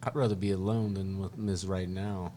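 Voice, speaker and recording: A middle-aged man in a casual group discussion, blunt and quick to disagree. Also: deep voice, flat delivery, mellow, slight background noise.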